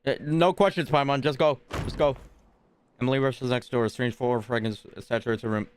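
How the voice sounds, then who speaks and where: A man's voice: medium pitch, steady tone, brisk, slight background noise. A man streaming a video game, talking casually and reading along as he plays. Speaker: streamer playing and commenting on a game. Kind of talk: casual game chat and read-aloud.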